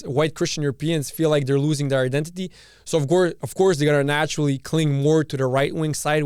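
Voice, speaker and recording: Male podcast host, Serbian-born, ranting solo, blunt and profane, fairly high voice, clean close dry sound. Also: a little mellow, clean tone, dry recording. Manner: blunt and profane, repeats words and restarts. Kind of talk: opinionated solo monologue.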